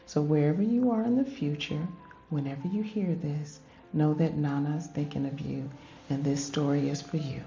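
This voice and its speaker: A woman's voice: low voice, dark tone, dull audio. An older woman reading a picture book aloud expressively, in a grandmotherly manner, addressing young children and asking them questions.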